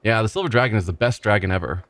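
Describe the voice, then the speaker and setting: A man's voice: lowish voice, racing, fairly bright tone, close to an everyday voice. A man voicing a cheerful, simple-minded male village fisherman who rambles and repeats himself proudly. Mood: earnest. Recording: faint game music behind.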